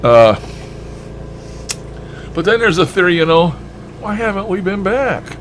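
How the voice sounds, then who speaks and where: A man's voice: high-pitched, sing-song, a little slow, a little hiss or hum. A man talking in a casual, rambling monologue with a folksy, blunt and mildly profane manner. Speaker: shortwave radio hobbyist vlogger.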